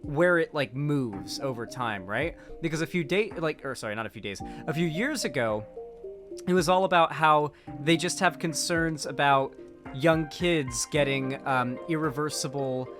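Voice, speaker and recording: A young man with a slightly raised pitch gives casual political commentary on a stream. He rambles, restarts and piles on fillers, his tone sarcastic. Faint music plays beneath him. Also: moderate pace.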